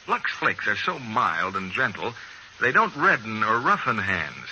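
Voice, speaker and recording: Animated, very bright vintage male radio announcer. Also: fairly low pitch, measured pace. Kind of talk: scripted 1940s radio advertising. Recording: bright, noisy old radio recording.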